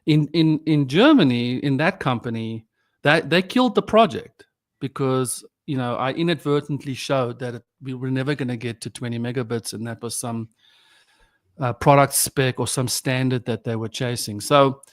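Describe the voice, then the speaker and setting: A man from South Africa, native Afrikaans speaker, in his forties or fifties, a podcast guest talking casually and reflectively with many restarts. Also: mid-range voice. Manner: reflective, halting with frequent restarts. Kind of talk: casual conversation.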